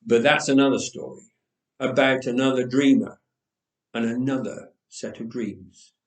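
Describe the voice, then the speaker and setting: A man reading a story aloud with expressive, dramatic pauses, recorded in an echoey church. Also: mid-range voice, recorded from a distance. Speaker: storyteller, reader. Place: church, indoors.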